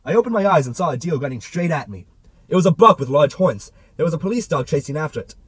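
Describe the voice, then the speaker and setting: A man's voice: fairly high pitch, expressive, fast, husky, no echo. A man narrating a literary novel as an amateur audiobook reader, with a theatrical delivery.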